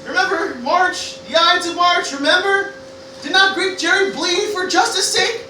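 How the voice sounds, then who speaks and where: Woman acting anxious teen grandson, stammering Shakespearean declamation, high sharp voice, cavernous room, background noise. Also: moderate pace. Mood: indignant. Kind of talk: Shakespearean parody stage acting. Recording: very echoey room, distant mic, noisy.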